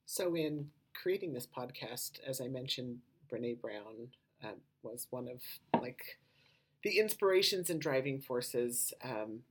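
Low-voiced, husky woman podcaster. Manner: rambling, lots of "you know" and "um" asides. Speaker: podcast host. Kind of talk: casual podcast monologue.

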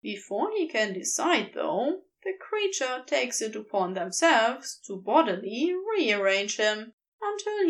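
Woman reading a podfic, slow and sing-songy, kinda high voice. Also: poor audio. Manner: unhurried, expressive storytelling. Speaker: podfic reader. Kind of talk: scripted narration of fan fiction.

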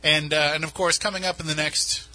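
A man's voice: fairly high pitch, fairly even intonation, fairly quick, bright, forceful tone. A man with a New England accent hosting a radio show, chatting casually in a studio with many restarts. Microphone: studio mic.

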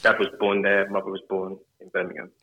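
Man, London accent, mortgage advisor, casual interview, low voice, tinny line. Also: fast. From England. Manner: relaxed, talks in long run-on chains with fillers.

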